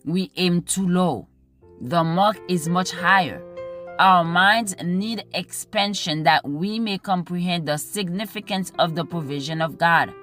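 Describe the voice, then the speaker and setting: Woman, slightly low voice, very slow measured pace, devotional scripture reading, music underneath. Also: fairly bright tone. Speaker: devotional reader.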